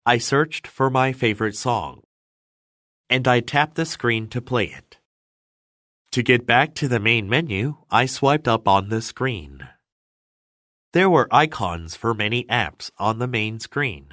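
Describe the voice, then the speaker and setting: A man reading scripted narration for English learners with careful, measured enunciation. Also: mid-range voice, expressive, bright, forceful tone, dry recording, clean studio sound.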